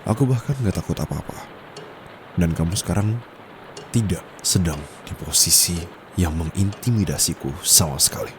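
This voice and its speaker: An Indonesian-accented man playing a stern, quietly dominant young private tutor in an ASMR roleplay, speaking formally and scolding, with bits of English mixed in. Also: low voice, normal speed, noisy background, natural voice. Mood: stern warning. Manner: firm, scolding, formal, slips into English phrases. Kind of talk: ASMR roleplay, close intimate acting. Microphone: close condenser microphone.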